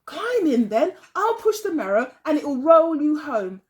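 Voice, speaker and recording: Woman playing a high-voiced reassuring daughter. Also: very animated, measured pace. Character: reassuring, practical grown daughter.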